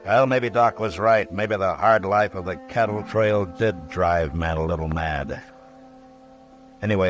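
A stern frontier marshal, played by a male radio actor with a deep American voice. He is measured and spare with words, firm and steady in the manner of a vintage radio western. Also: close to an everyday voice. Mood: brooding narration. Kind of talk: mid-century American radio western acting.

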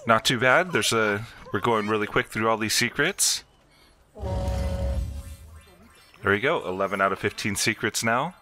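A man's voice: on the low side, animated, measured pace, pauses often, bright, forceful tone, faint music behind. A man hosting a video game walkthrough, explaining each step in an instructional way. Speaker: gameplay video host.

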